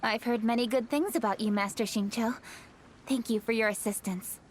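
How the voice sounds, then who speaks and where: A woman's voice: fairly high pitch, steady tone, moderate pace, slight background noise, natural voice. A woman voicing a poised, dutiful young woman official in a video game, speaking formally and with self-assurance. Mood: formal, composed. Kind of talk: English video game voice acting. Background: background music.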